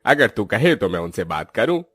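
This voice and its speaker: A man's voice: fairly low pitch, very animated, normal speed, fairly bright tone, breathy edge, no echo, faint music behind, exaggerated voice. A man playing a kindly older priest in a cartoon story, with an avuncular, encouraging manner. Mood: approving, persuasive.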